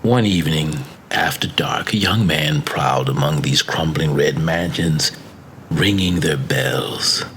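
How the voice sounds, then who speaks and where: A man narrating a classic macabre story as an audiobook, with measured, deliberate phrasing. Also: rumbling low, fairly bright tone, slight background noise. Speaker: story reader.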